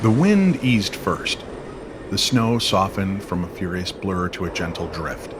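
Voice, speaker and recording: A man narrating an eerie, nostalgic winter-evening style story in a measured, dramatic way. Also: sits low, big pitch swings, background noise. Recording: music under the voice.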